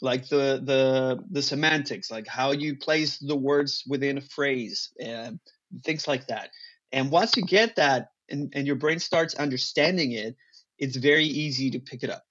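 A man whose first language is Spanish, a guest talking casually about acting and languages in an animated, emphatic way. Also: mid-range voice, a little slow, lo-fi sound. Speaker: guest, actor. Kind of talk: casual interview talk.

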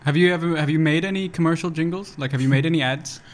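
A man's voice: a little high, fast, slight background noise. A man hosting a podcast, asking chatty follow-up questions in casual conversation. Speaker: podcast host.

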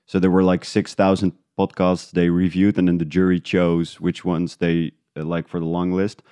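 Really deep smooth guy chatting in an interview, kinda halting, thinking out loud. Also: level tone, no echo, clean studio sound. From Netherlands. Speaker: guest, independent podcast creator. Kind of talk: casual interview conversation.

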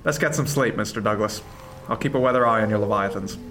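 An actor playing a kindly, calm first mate of a sailing ship in a period radio drama, with a reassuring, understated manner. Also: male voice, lowish voice, fast talker, soft background music, lightly acted. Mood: wry, calm.

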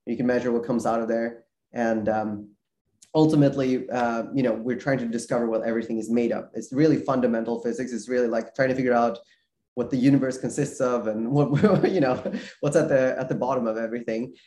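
A man's voice: medium pitch, steady tone, fairly quick, dark tone, reverberant. A man from Sweden, a guest on a video call interview, talking casually with many fillers and restarts. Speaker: guest researcher.

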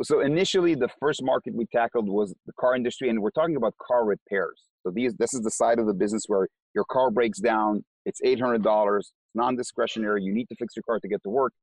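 A man's voice: on the low side, a little mellow, dry recording, poor audio. A man with an Israeli accent, a company co-founder, explaining his business in an interview and often repeating words as he starts sentences.

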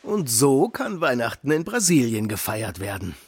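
A husky, gravelly father in a children's radio play. He explains things patiently and gently, with a very lively rise and fall. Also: medium pitch, moderate pace. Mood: patient, earnest. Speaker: actor in a children's audio drama.

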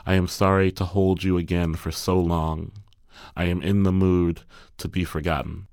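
Male poet for a solemn reading: low, resonant, little pitch movement, dry sound. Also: level tone, normal speed, a little mellow, no echo. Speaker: poet reading own work. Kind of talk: poetry reading.

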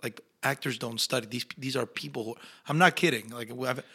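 A young man with an Argentine accent, a Spanish-speaking actor telling stories in a casual interview, animated and acting out the people he quotes. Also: mid-range voice, brisk, slightly rough. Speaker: actor guest.

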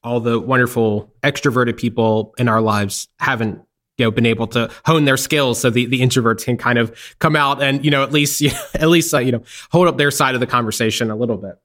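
A man's voice: medium pitch, a little fast, a bit bright. A man hosting a casual interview, chatty, using many fillers and laughing easily. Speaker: podcast host.

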